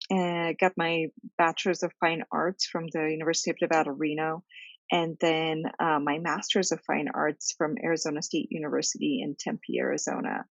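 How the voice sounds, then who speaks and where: A woman in her thirties from Bulgaria, an artist answering interview questions thoughtfully with many pauses and fillers. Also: deep voice, vocal fry, no echo, lo-fi sound. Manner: thoughtful, many ums and restarts. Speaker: visual artist and art instructor.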